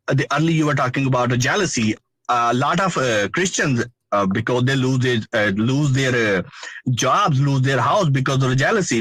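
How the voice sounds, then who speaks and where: A man's voice: mid-range voice, fairly bright tone, husky, no room sound, poor audio. A man whose first language is Urdu, calling in to a live stream and speaking earnest, hesitant English full of fillers. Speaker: caller, guest. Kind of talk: live stream call conversation.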